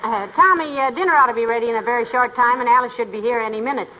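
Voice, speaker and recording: An actress playing a kindly, sensible middle-aged housewife with a genteel, chatty manner in 1940s radio comedy. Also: female voice, fairly high pitch, racing, lightly acted. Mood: gently hospitable. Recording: very noisy, tinny 1940s radio recording.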